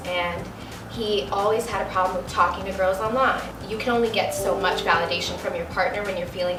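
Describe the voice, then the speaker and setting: A woman's voice: medium pitch, background noise. A woman in her twenties talking frankly and casually on a studio sofa, filler words throughout. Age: 20s. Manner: chatty, frank, many likes and you knows. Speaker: panel guest. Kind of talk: candid casual chat. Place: studio set with sofa, indoors. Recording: roomy, distant, music bed.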